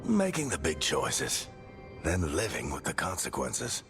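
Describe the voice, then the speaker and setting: A man's voice: rumbling low, huge pitch swings, measured pace, fairly bright tone, soft background music, heavily acted. A man playing a wry, weary older mentor and storyteller in a fantasy game. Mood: fatherly, weary. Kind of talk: dramatic narration and game dialogue.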